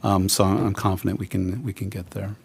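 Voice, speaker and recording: A man representing a project applicant, responding to public comments at a board meeting in a halting way, with many uhs and restarts. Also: deep voice, flat delivery, creaky, darkish tone, husky. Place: council meeting room.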